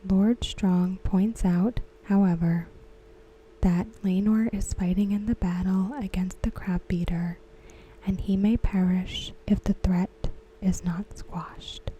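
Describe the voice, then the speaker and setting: A woman whispering softly and slowly as she recaps and discusses a TV episode for an ASMR video. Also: medium pitch, steady tone, slight background noise, rough recording. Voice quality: breathy. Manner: slow, soft whispering. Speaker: ASMR creator. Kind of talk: soft-spoken ASMR recap.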